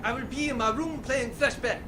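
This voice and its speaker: A man's voice: extremely high pitch, brisk, music in the background. A man playing a vain, flamboyant, roguish spaceship crewman in a comedic audio drama. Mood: indignant, dramatic.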